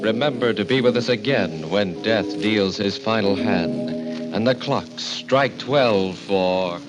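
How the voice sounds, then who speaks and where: A man announcing a 1940s radio horror show in an ominous, deliberate, theatrical manner. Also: lowish voice, poor audio. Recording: old radio broadcast, loud organ-style music.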